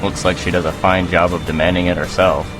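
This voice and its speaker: An actor as a bitter male resistance fighter in an audio drama, with a very low voice. He is ornery and wary. Loud music runs under his words. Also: level tone, fairly quick, close to an everyday voice. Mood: wary, surprised.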